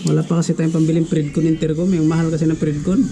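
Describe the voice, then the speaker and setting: A man's voice: fairly high pitch, flat delivery, quick pace, heavy background noise. A man talking casually as a vlogger walking viewers through a do-it-yourself tutorial. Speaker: vlogger giving a DIY tutorial.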